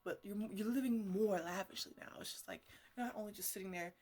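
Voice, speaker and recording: A woman playing an eccentric, defensive botanist in an ASMR roleplay, rambling haltingly and repeating herself as she fusses over her plants. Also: mid-range voice, a little mellow, breathy edge, lightly acted. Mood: earnest, enthusiastic. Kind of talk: ASMR roleplay, casual improvised chatter to plants.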